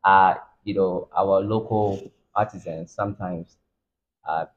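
Male interviewer, thirties, low voice, casual delivery, narrow phone-like audio. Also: steady tone, measured pace. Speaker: interviewer, channel host. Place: furnished room, seated in armchairs. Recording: thin phone-like band-limited sound.

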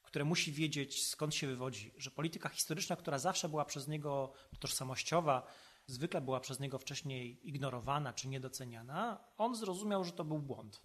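A man with a medium-pitched voice speaks as an expert commentator. He explains his points in a measured, articulate manner suited to an analytical podcast interview. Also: husky.

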